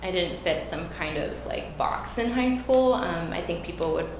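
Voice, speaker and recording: A woman running for a school board seat, whose first language is Spanish, answering questions earnestly with frequent pauses and fillers. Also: lowish voice, animated. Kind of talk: candidate interview. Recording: echoey room, background noise.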